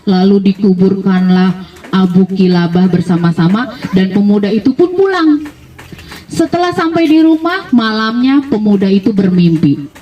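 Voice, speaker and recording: Mellow woman storyteller, slightly distant. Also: medium pitch, moderate pace. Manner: expressive, exclamatory, addresses listeners directly. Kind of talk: live religious storytelling to an audience. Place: evacuation post, live storytelling. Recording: dull, distant microphone pickup.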